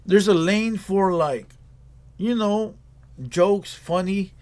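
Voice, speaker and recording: A man's voice: high-pitched, steady tone, slow, a little mellow. A man hosting a reaction video, giving casual commentary in a blunt, slangy, repetitive lecturing way.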